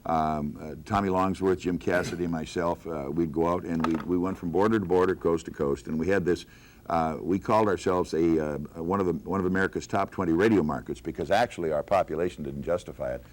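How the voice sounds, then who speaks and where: A man's voice: low voice, fairly even intonation, brisk. A man, a veteran radio staffer, telling emphatic stories from his career in a panel conversation.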